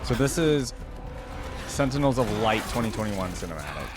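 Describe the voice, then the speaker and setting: A man's voice: fairly low pitch, sing-song, normal speed. A young adult man giving casual, conversational reaction commentary as a video host, thinking aloud. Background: game cinematic music.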